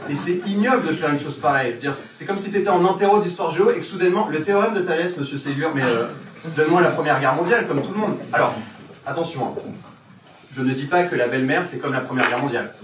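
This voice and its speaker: Rapid mock-indignant man on a stage. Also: fairly high pitch. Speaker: stand-up comedian. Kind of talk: stand-up comedy routine. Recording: distant echoey stage recording, loud noise.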